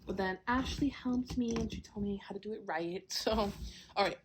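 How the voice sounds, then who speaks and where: A congested young woman chats on her own podcast while sorting puzzle pieces, her talk loose and rambling, packed with "like" and "um." Her pitch sits in an ordinary middle range. A steady hiss of noise runs behind her home recording. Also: normal speed. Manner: rambling, full of "like" and "um", with small laughs. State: congested, clearing her throat. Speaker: podcast host. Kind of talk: casual solo chat while doing a jigsaw puzzle. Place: a home, downstairs.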